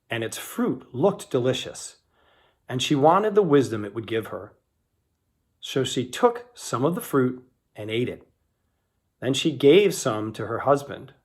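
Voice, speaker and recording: A man giving an expressive, scripted reading of scripture, dramatizing the quoted speech as he goes. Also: medium pitch, unhurried. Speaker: scripture reader.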